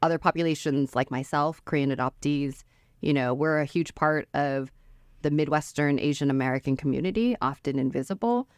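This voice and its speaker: A woman's voice: deep voice, fairly quick, darkish tone, no room sound, clean studio sound. A woman from Metro Detroit, a professor, explaining her research in a thoughtful way, with many "I think" and "you know". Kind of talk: academic conversation.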